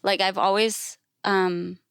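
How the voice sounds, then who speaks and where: A woman's voice: mid-range voice, level tone, slow pace, gravelly, fairly bright tone, clear, resonant tone, dry recording. Candid woman, dry close recording. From Thailand. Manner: informal and outspoken, with frequent "like" and acted-out quotes. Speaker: podcast guest, classical musician and educator. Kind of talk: candid conversational storytelling.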